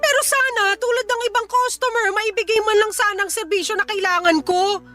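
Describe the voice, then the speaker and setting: Super high sharp-voiced filipina mom, desperate n demanding, radio drama, indignant. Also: female voice, steady tone, a little fast, soft background music. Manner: indignant, pleading, insistent. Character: desperate, demanding young mother betrayed by a folk healer.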